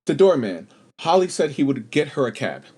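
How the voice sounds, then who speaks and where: A man's voice: on the high side, very animated, normal speed, a little mellow, breathy edge. An actor playing an earnest, anxious young man in a radio play, hesitant and easily flustered. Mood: earnest, defensive. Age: young adult.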